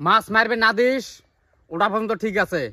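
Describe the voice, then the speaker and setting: A man's voice: high voice, fairly quick, bright, forceful tone, no room sound. A man playing a cantankerous village elder in an amateur comedy skit, indignant and scolding, with a northern Bengali regional accent. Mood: angry. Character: cantankerous village elder uncle caught fishing in another's pond. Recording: clearly noisy amateur video sound.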